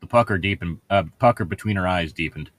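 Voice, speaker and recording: Male co-host, fairly deep, dry sound. Reads prose casually with irreverent, snarky, sweary asides. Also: quick pace, a bit bright, dry recording. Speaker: co-host reading a novel aloud with commentary. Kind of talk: reading aloud with casual joking commentary.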